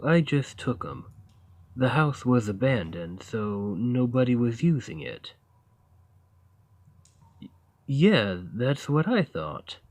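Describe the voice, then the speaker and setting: A man playing a friendly, naive, awkward giant who lives in a cave, in an ASMR roleplay, speaking in a hesitant, earnest, gently upbeat way. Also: medium pitch, no room sound, exaggerated voice. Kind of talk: ASMR roleplay, acted monologue to the listener.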